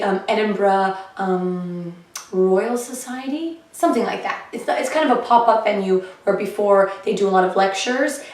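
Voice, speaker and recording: A woman comedian chatting casually in a vlog about her shows, her voice a little hoarse and often clearing her throat. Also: medium pitch. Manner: chatty and upbeat, with fillers. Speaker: comedian vlogging her diary. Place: living room of a flat, indoors. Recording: echoey room, heard from a distance.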